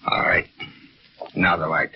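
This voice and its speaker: An actor playing a sullen, menacing fugitive in a 1940s radio drama, curt and commanding. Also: male voice, a little slow, close to an everyday voice. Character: sullen, menacing fugitive criminal man, tense and bossy. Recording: noisy muffled vintage radio recording.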